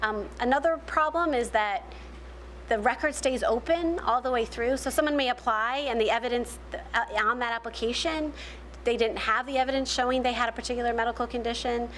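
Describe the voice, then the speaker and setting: Explanatory woman panelist, higher voice. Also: brisk, fairly bright tone, slight background noise. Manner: explanatory, many restarts and fillers. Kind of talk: panel discussion answer.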